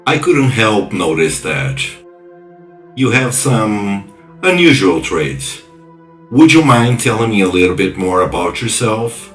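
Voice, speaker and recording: A man playing a polite, probing cyberpunk investigator in audio drama dialogue, with measured questions. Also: fairly low pitch, very animated, recorded from a distance, soft background music.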